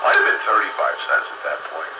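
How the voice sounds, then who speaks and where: An older man chatting casually on the radio, recalling things in a rambling, halting way. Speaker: radio show participant. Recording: noisy, muffled, roomy radio transmission.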